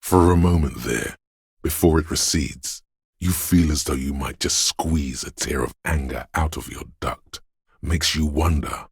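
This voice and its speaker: An actor voicing a menacing inner voice of fear and violent aggression in a video game, brooding and theatrical, speaking in the second person. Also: male voice, dry recording, studio quality, full character voice. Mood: tense, seething. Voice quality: very deep, gravelly, husky. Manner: brooding, theatrical, deliberate delivery with ominous weight.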